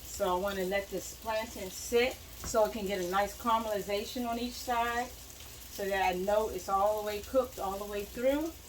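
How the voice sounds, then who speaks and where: A woman's voice: mid-range voice, steady tone, normal speed, a little hiss or hum, poor audio. A woman in her home kitchen, chatting casually and talking herself through each step as she cooks. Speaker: cooking vlogger. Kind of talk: casual narration while cooking. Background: frying and sizzling in a pan.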